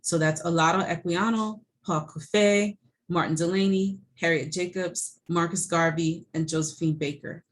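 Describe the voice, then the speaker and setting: A woman's voice: lowish voice, fairly even intonation, moderate pace, slight background noise. A middle-aged woman, a college professor, giving a recorded lecture over slides in a conversational way, with many ums and restarts.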